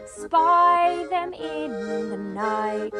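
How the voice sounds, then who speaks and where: A woman singing a gentle, repetitive children's song with musical accompaniment. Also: high-pitched, lively intonation, drawn-out words, over loud music. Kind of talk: slow children's lullaby-like song. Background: loud musical accompaniment.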